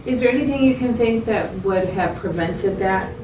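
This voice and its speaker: A woman's voice: medium pitch, lively intonation, normal speed, lightly acted. A woman playing a supportive mental health clinician, explaining and reflecting back in a conversational clinical interview. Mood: supportive, explanatory. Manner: reflective, explanatory, checking in with the listener. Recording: distant mic, roomy echo, loud background noise.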